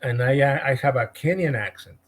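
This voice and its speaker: A man with a Spanish-speaking Latin American accent, a real estate agent guest telling a story in an animated podcast interview. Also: mid-range voice, moderate pace. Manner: animated, retells conversations with emphasis.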